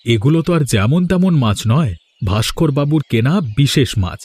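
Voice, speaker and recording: A man's voice: medium pitch, lively intonation, moderate pace, a little mellow, no echo, natural voice. A man voicing a proud, hard-working village husband in a cartoon, with an emphatic, declamatory delivery. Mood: reassuring. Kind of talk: cartoon voice acting.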